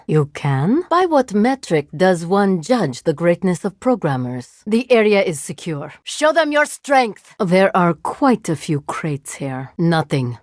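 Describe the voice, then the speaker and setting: A female cyborg agent powered by nanites, cool and curious, in a video game. She talks in precise, literal, oddly formal sentences, and her low voice still rises and falls widely. The read is dry and close. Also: darkish tone, no room sound. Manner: formal, literal, emotionally detached phrasing. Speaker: voice actor for a game character.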